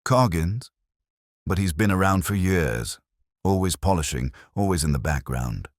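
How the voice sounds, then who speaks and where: A man voicing a calm, firm police sergeant in a mystery story. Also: sits low, measured pace, no echo, studio quality, pitched above the performer's own voice. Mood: puzzled.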